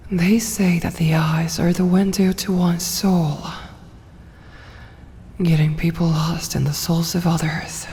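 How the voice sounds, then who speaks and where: Vampire woman, played by an actress, ASMR roleplay: low, dark whisper, hypnotic, doting but commanding. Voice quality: breathy, whispered. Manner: slow, coaxing, commanding yet doting. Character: possessive, seductive vampire woman mesmerizing her prey. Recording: long hall reverb, faint hiss and ambience.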